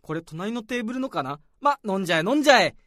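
A man's voice: high-pitched, very animated, fairly bright tone, no room sound, studio quality, pitched above the performer's own voice. A man playing an eager, cheeky junior office worker in a radio drama, hurrying people along, with music behind. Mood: eager, hurried. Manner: hurried, cheeky. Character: pushy, eager young junior salaryman out drinking.

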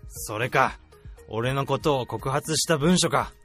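A man's voice: mid-range voice, a little slow, fairly bright tone, faint music behind, close to an everyday voice. A man playing a blunt, hot-tempered AI developer in a radio drama, with dramatic, emphatic delivery. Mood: tense, suspicious. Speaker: lead character and narrator.

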